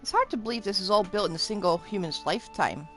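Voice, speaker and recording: A woman's voice: mid-range voice, expressive, quick pace, music in the background. A woman streaming a game, giving casual, wry and playful reaction commentary in asides.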